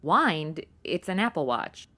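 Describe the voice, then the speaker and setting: A woman's voice: deep voice, big pitch swings, slow, a bit bright, exaggerated voice. A woman playing a brash, sarcastic modern young woman in a comedy audio drama, full of slang and exclamations. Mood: teasing, excited. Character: sassy modern young woman, snarky, brash amateur sleuth.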